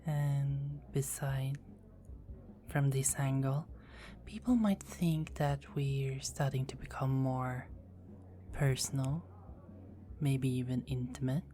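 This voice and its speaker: A seductive, dominant vampire woman, as a female ASMR artist plays her, whispers her teasing lines close and slowly. Her voice sits very low and dark, with a mellow, unhurried drawl. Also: fairly even intonation, slight background noise. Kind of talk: ASMR roleplay.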